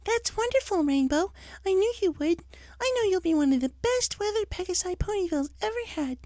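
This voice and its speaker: A gentle young pegasus mare from a storybook, put on by a man in falsetto range, very high and soft. She is sweet and encouraging, heard in a dry, close recording. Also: expressive, brisk, no echo. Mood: delighted, gentle. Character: gentle, kind young female pegasus pony.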